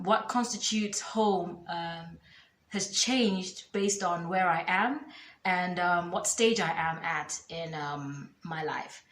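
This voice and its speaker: Woman speaking with thoughtful pauses, roomy echo. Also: lowish voice. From born in the United Kingdom, raised in Zambia, Zimbabwe and Kenya. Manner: thoughtful, many filler pauses. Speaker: scholar introducing herself. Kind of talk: self-introduction video.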